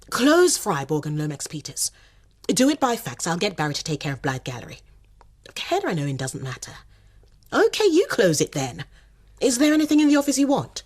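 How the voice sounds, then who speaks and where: An actress in a radio drama playing a brisk, resourceful woman fraudster on the run. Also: high voice.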